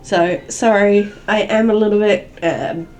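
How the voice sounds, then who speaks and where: Croaky, gravelly woman podcaster. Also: medium pitch, steady tone, a little slow, darkish tone. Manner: rambling, chatty, frank. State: croaky from a cold. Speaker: podcast host. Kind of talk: solo podcast commentary.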